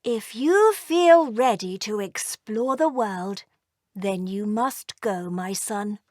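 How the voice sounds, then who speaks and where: A woman voicing a doting, gentle mother dragon in a children's storybook, tender and motherly. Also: mid-range voice, expressive, takes it slowly, no room sound, studio quality. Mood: tender, accepting. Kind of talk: children's storybook read aloud.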